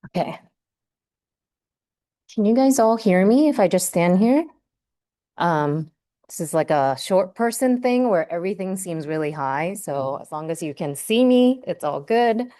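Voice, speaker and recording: A woman's voice: fairly low pitch, lively intonation, a little slow, dark tone. A woman who grew up in Hawaii, Japanese as her first language, giving a chatty seminar talk full of "um" and "like". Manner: chatty, many fillers like "um" and "like". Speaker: guest seminar speaker. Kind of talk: personal academic seminar talk.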